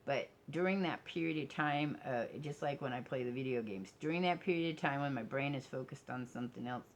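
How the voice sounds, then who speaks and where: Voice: mid-range voice, fairly quick, slight background noise. A middle-aged vlogger talking casually to viewers in a rambling, candid and self-reflective way. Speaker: vlogger and game streamer.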